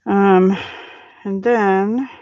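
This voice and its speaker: A middle-aged woman hosting a live card-making stream, talking softly and thinking aloud as she works and chats with viewers. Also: mid-range voice, steady tone, very slow. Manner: soft-spoken, thinks aloud while working. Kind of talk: casual live craft demo, chatting with viewers. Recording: dry, slightly dull home stream audio.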